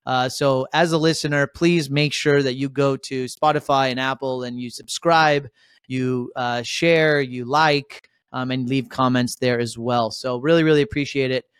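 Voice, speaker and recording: A man's voice: mid-range voice, fairly even intonation, moderate pace, clear, resonant tone, no echo, studio quality. Upbeat man hosting a podcast. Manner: upbeat, rambling, lots of shout-outs. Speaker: podcast host. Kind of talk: casual podcast chat.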